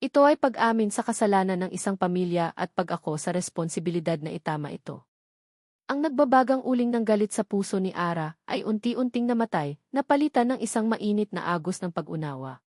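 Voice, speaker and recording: A woman's voice: medium pitch, expressive, fairly quick, a little mellow, no room sound, studio quality. A woman reads a scripted romantic story as a dramatic narrator.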